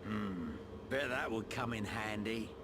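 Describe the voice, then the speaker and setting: An actor playing a gruff, roguish older British soldier in a video game, blunt and slangy with a wry manner. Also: male voice, on the low side, a little slow, background noise, close to an everyday voice. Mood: wry, businesslike. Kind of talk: video game cutscene acting. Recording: loud orchestral game music behind.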